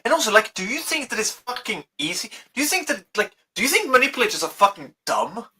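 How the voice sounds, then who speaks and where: A Swedish man with a Swedish accent, co-hosting a podcast in casual chat, animated and swearing constantly as he rambles. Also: very high voice, fast talker, a little hiss or hum. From Sweden. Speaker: podcast co-host.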